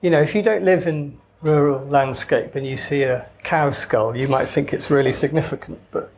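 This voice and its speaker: A man's voice: on the high side, normal speed, noisy background. A man from Wales with no Welsh accent, an author talking casually in an interview, wry and digressive with hesitant restarts. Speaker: author guest.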